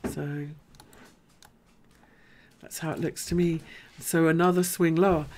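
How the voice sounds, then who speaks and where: A woman with a deep, low voice hosts a live market analysis stream. She is chatty and informal and thinks aloud as she works through each point. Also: steady tone, measured pace, a little mellow, a little hiss or hum. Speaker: host.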